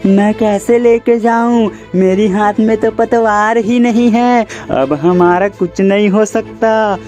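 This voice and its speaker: A man acts out a simple village boatman in a cartoon moral tale. The voice is high and slow, polite and plain-spoken, with faint music behind it. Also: fairly even intonation, a little mellow. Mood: helpless, resigned. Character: village boatman, obliging then helplessly resigned.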